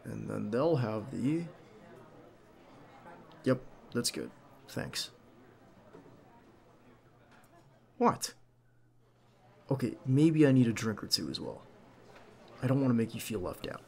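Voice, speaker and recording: A man playing a nervous, devoted bodyguard on a date in an intimate ASMR roleplay, speaking softly and hesitantly with some gentle teasing. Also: mid-range voice, expressive, unhurried, long pauses. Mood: relaxed, teasing. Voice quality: creaky. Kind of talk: ASMR roleplay acting, intimate one-sided dialogue.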